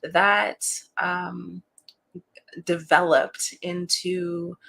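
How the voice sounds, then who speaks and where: A woman guest in a casual interview, talking about her work with a relaxing voice and an animated, laughing way of telling stories. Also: fairly low pitch, drawn-out words, gravelly. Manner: warm, animated storyteller who laughs often. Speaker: interview guest, voice actor and creative director.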